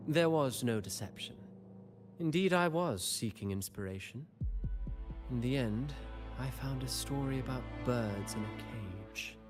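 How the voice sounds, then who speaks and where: Slow, theatrical male schemer. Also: medium pitch. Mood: sly, cryptic. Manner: theatrical, florid, measured, teasing. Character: theatrical, slyly mocking male schemer and storyteller. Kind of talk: dramatic video game voice acting. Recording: voice over game music.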